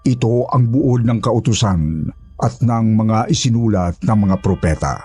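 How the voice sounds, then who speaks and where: A man's voice: on the low side, lively intonation, measured pace, mellow, breathy edge, soft background music. A man playing a humble former houseboy, narrating a horror story in the first person with an earnest, polite manner. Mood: grateful, solemn.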